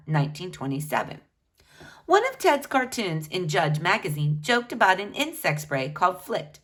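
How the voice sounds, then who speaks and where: Woman reading aloud with very lively pitch. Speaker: children's book reader. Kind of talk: read-aloud narration of a biography for children.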